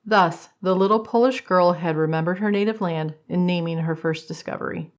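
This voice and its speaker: A woman's voice: lowish voice, normal speed, lo-fi sound. A woman narrating an old-time radio drama from a script, in a storytelling style.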